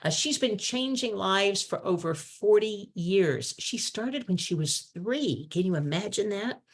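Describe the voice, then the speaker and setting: A woman's voice: medium pitch, expressive, measured pace, husky. A woman hosting an online meeting, introducing a guest speaker in a chatty, enthusiastic way. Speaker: host. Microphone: video call.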